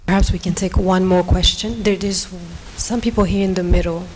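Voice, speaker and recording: A woman moderating a panel discussion, brisk and polite as she calls on questions. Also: on the low side, fairly even intonation, a little mellow. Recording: long hall reverb, faint noise.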